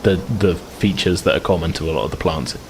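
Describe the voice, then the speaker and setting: A man with a very low voice acts as game master for a tabletop game. He explains the scene in a hesitant, rambling flow, making it up as he goes. There is some faint noise behind him. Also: a little fast, a little mellow. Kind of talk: improvised narration of a tabletop game.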